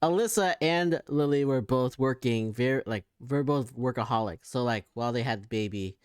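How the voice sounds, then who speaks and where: A man's voice: mid-range voice, moderate pace, clear, resonant tone, no room sound, studio quality. A man co-hosting a casual podcast, rambling and correcting himself as he talks.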